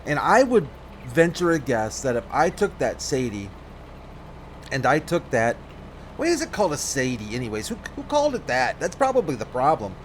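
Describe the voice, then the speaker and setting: A man's voice: mid-range voice, very animated, normal speed, slight background noise. Man rambling through gameplay commentary. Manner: rambling, self-correcting, thinks aloud. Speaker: let's play gamer.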